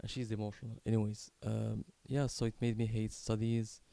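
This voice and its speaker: A man in his twenties from Morocco tells a personal story unscripted, in a halting, candid, casually sweary way. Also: fairly low pitch, flat delivery, vocal fry, dark tone. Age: 20s. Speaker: video creator telling his life story.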